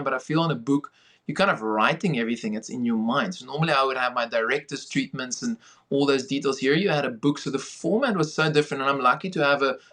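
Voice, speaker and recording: A man's voice: mid-range voice, a bit bright. A man with a South African accent giving conversational interview answers as a filmmaker guest on a podcast.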